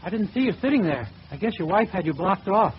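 High-voiced, naive young man. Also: quick pace. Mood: guileless, deadpan. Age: young adult. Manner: guileless, meek, deadpan. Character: naive, polite, silly boyish young suitor. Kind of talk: 1940s radio comedy acting. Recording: muffled old radio, heavy hiss.

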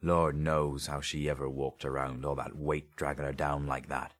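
A man's voice: a bass voice, level tone, moderate pace, a bit bright. A man voicing a haunted, greedy grave robber in an audiobook story, with a confiding, colloquial way of telling things. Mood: greedy relish.

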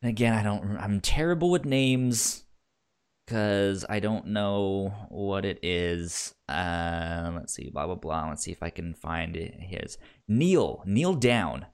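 A man with a low-pitched voice talks slowly in a casual film chat. He stammers often, doubling back on words and syllables as he works through his thoughts. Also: animated, clear, resonant tone. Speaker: film podcast co-host.

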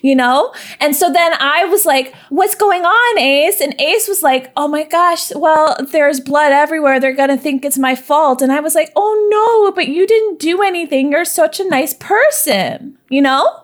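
A woman's voice: sits high, moderate pace, hardly any pauses, lightly acted. A woman voicing a naive, chatty young woman from a goddess commune, rambling and giggly in a tabletop roleplay. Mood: cheerful. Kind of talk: in-character roleplay.

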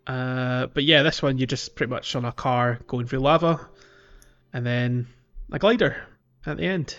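A man's voice: medium pitch, moderate pace, fairly bright tone. A man hosting a podcast, chatting casually about games in a jokey way, prone to chuckling. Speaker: podcast host. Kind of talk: casual game discussion chat. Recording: slightly muffled, music underneath. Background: background music.

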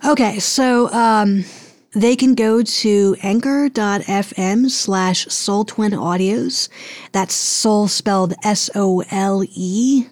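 A woman speaking as a podcast guest, chatting casually and somewhat haltingly. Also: medium pitch, a little mellow, dry recording. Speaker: podcast guest, audio producer. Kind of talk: casual interview chat.

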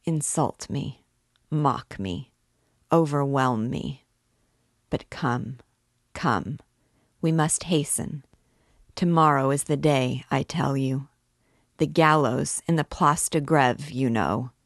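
A woman's voice: rumbling low, slow, pauses often, no echo, clean studio sound, exaggerated voice. A woman reading an anguished male priest who pleads desperately for love in a storybook reading. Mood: urgent.

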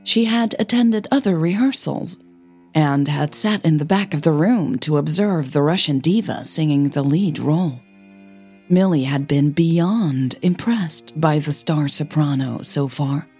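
Female fiction podcast narrator, slow and deliberate, slightly breathy, husky delivery over music. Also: low voice, darkish tone. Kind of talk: scripted audio fiction narration.

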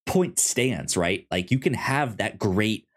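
A man co-hosting a podcast, talking casually and haltingly as he thinks aloud and stammers over words. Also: fairly low pitch, lively intonation, breathy edge. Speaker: podcast co-host. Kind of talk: casual discussion and review.

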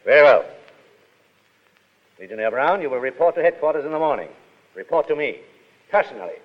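An actor playing a pompous, jealous Foreign Legion adjutant in a 1930s radio drama, formal and imperious with clipped courtesy. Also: male voice, fairly high pitch, big pitch swings, brisk. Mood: commanding. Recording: dull, echoey 1930s radio broadcast.

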